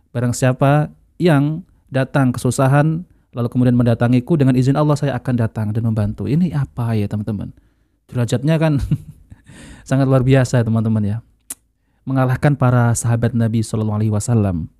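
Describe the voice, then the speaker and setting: An Indonesian man hosts a casual religious commentary. His voice is soft-edged and mellow, recorded close and dry. He talks straight to his audience in an earnest, cautioning way. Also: mid-range voice, no echo. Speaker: video host reacting to a lecture. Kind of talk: informal religious commentary to viewers.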